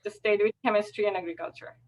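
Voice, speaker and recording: A middle-aged woman from Fiji speaking informally and a little haltingly on a video call, telling her career story as a panelist. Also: medium pitch, fairly even intonation, low-quality recording. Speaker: panelist, alumna working as a supervisor.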